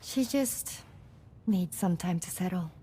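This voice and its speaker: Ambient noise sits behind a gentle young woman in a cinematic game. She talks very slowly and softly, with a reassuring, natural delivery. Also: on the low side, expressive.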